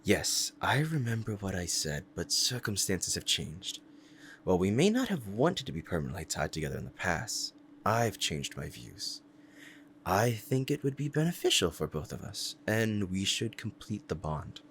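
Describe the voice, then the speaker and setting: A man voicing a powerful, possessive and devoted demon in an intense, deliberate ASMR roleplay monologue. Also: deep voice, sing-song, fairly bright tone, a little hiss or hum, exaggerated voice. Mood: insistent, possessive. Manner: intense, deliberate, dramatic pauses.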